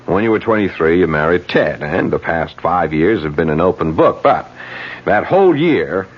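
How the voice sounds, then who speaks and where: An actor in an old radio drama playing a brisk, persuasive campaign manager who probes into the candidate's past. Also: male voice, sits low, lively intonation, husky. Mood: probing. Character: fast-talking male campaign manager, shrewd and prying. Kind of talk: 1970s radio drama acting. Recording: dull old radio broadcast.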